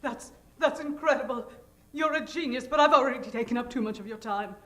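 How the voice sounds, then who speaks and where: Woman acting a timid high-voiced damsel in distress. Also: fairly quick, sharp tone. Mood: shy, nervous. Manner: hesitant, stammering, meek. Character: timid, self-effacing young damsel in distress. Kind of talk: improvised old-time radio melodrama parody. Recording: roomy live stage sound.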